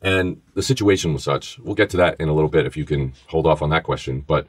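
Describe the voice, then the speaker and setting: Deep-voiced Bronx man telling stories. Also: fast talker, breathy edge, no echo. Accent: New York, Bronx. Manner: animated storyteller, acts out quoted voices. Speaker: former FBI agent, podcast co-host. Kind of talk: casual storytelling conversation.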